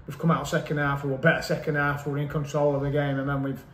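A man, a football manager giving a measured, matter-of-fact post-match interview with frequent hesitant ums. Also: fairly high pitch, little pitch movement, fairly bright tone. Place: small indoor club office. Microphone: camera mic at close range. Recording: echoey room, faint background noise.

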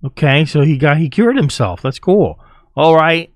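A man hosts a casual reaction video in a fairly high voice. His talk is informal and full of slang, and the recording is dry and close. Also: expressive, moderate pace, dry recording. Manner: informal, slangy, often chuckling. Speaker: video host.

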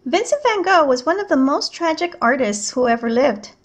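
Lady with a highish voice reading a kids book out loud, like a teacher at storytime, measured and clear words. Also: big pitch swings. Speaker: art teacher reading a children's book aloud. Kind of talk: read-aloud narration of a picture book.

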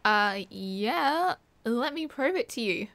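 Woman voicing a playful Aussie party girl, high voice, unhurried delivery, dry close sound, teasing, slangy, ASMR roleplay. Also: lively intonation, fairly bright tone, no room sound, heavily acted. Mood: playful, upbeat. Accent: Australian. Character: cheerful, teasing young woman at a beach party. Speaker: roleplay voice actor. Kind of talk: casual ASMR roleplay, one side of a chat.

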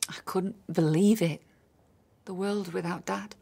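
Grieving wife, husky-edged voice. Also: female voice, lowish voice, fairly even intonation, unhurried, warm tone. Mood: grieving, subdued. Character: grieving, trusting, lonely abandoned wife. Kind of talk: naturalistic radio drama acting.

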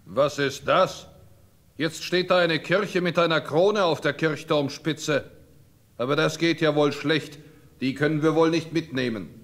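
An actor playing a baffled, apologetic farmhand in a fairy-tale audio play. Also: male voice, on the high side, measured pace, a bit bright.

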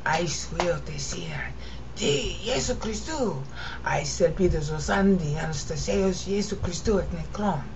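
A woman teaching informally, explaining and chanting Greek verse in a drawn-out sing-song way. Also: lowish voice. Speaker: amateur Bible teacher. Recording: muffled lo-fi recording with background noise.

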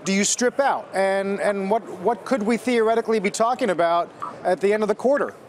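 A man anchoring a live news interview, framing questions in a halting way with repeated words. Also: sits high, a little hiss or hum.

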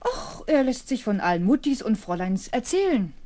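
Nanny for children's radio play: fussy, caring, animated pitch, mellow tone. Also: female voice, normal speed. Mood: patient, coaxing. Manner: scolding, coaxing. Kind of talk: children's audio play acting.

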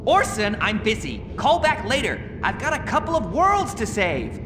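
High-pitched wisecracking cartoon hero. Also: male voice, huge pitch swings, moderate pace, background noise. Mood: exasperated, sarcastic. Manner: dry, sarcastic, cocky. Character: Pac-Man, plucky wisecracking yellow cartoon hero. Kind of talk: video game cartoon voice acting. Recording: loud game music behind voice.

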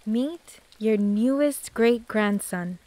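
An actress playing an affectionate great-granddaughter in an audio drama. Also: female voice, fairly high pitch, slow, mellow. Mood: fond, teasing. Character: affectionate great-granddaughter presenting newborn baby.

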